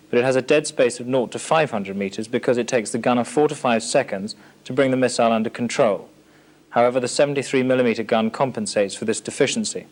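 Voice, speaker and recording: A British man narrating a military training film in a formal, matter-of-fact, scripted instructional manner. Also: medium pitch. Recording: 1980s archive training film soundtrack.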